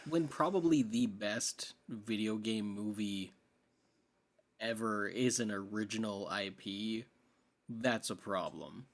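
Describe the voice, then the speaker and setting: A man co-hosting a podcast, chatting informally about films and building his argument in a halting way. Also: fairly low pitch. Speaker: podcast co-host. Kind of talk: casual film discussion.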